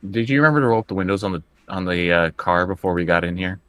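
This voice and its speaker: Deep-voiced man playing a tabletop game. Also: fast talker, fairly bright tone, no room sound. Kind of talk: casual in-character improvised play.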